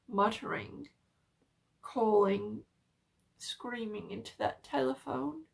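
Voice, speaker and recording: Slow, higher-pitched woman reading horror. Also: creaky, fairly bright tone. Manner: theatrical, halting dramatic pauses. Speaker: storyteller, narrator. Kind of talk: dramatic reading of a horror story.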